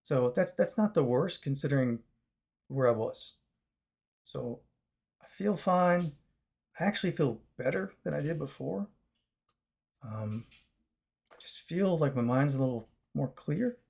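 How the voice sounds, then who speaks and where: A man with a raspy, chesty voice talks in a loose, rambling way, full of fillers and restarts. He is still recovering after a long fast. His voice sits a touch high and sounds husky throughout. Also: expressive, a little mellow. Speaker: vlogger. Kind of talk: casual talk to camera.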